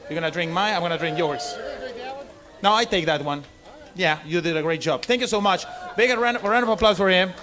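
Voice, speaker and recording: Higher-voiced man with Argentine Spanish accent. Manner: halting non-native English, frequent "okay?" check-ins. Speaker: bartender giving a seminar. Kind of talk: informal lecture to a live audience.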